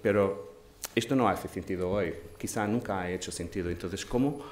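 A man in his forties with a Portuguese accent, a non-native speaker, moderating a panel in a thoughtful way, pausing and restarting phrases. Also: low-pitched. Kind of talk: panel discussion talk. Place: large dark auditorium stage. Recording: echoey hall with faint noise.

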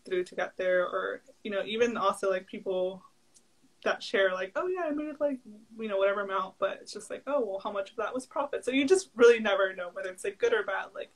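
A young woman whose first language is Spanish talks as a guest in a relaxed interview, her voice at a middling pitch. She rambles with frequent fillers and laughs easily, heard over a video call from home. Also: a little fast, low-quality recording. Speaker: guest entrepreneur. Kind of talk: casual interview chat.

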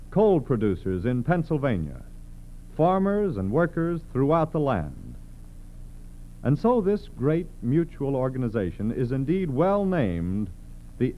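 An American man announcing formally and emphatically in the style of a 1940s radio sponsor message. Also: medium pitch. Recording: dull, noisy, humming vintage radio.

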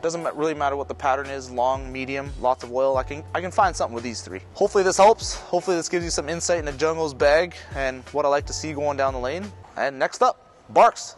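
A man in his thirties in a bowling alley, thinking aloud in a relaxed way as he explains his ball choices on a lapel mic. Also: on the high side, fairly quick, faint music behind. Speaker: right-handed bowler presenting his arsenal. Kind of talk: casual equipment walkthrough.

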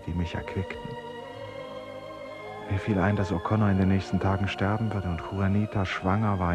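An actor playing a brooding, resolute man confined in an asylum in a German radio play, speaking in a deliberate, introspective way. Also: low-pitched. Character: brooding, resolute male traveller confined in an asylum, torn by guilt. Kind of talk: 1950s-70s German radio play, monologue and dialogue.

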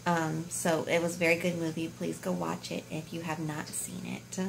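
Giggly woman with a gravelly edge. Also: deep voice, level tone, normal speed, a little hiss or hum, poor audio. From United States. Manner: giggly, bubbly, addresses viewers as y'all. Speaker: vlogger. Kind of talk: casual couple vlog chat. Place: hospital room, indoors. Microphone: phone camera at arm's length.

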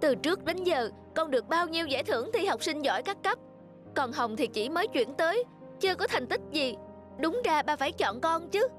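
A woman playing a proud, competitive schoolgirl in an animated cartoon. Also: high-pitched, fairly quick, over music. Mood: pleading, indignant.

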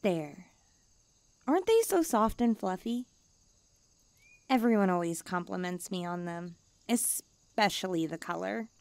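A woman's voice: fairly low pitch, expressive, measured pace, creaky, pauses often, a little mellow, no echo. A woman playing a haughty, needy young stray catgirl, blunt and cutesy, in an ASMR comfort roleplay. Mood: smug, coaxing.

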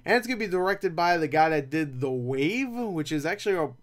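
A young man talking casually as a film reviewer, rambling and animated, with opinionated asides. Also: fairly high pitch, brisk. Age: young adult. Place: small cluttered room at home.

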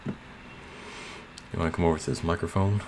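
A man's voice: sits low, fairly even intonation, measured pace. A man narrating a casual screen tutorial in a plain, slightly hesitant way. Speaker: tutorial host. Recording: clear background noise.

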